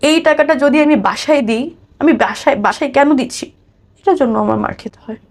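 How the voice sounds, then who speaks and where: A woman's voice: high voice, brisk. A woman with a Bangladeshi accent telling her own life story conversationally as an interview guest, acting out what people said.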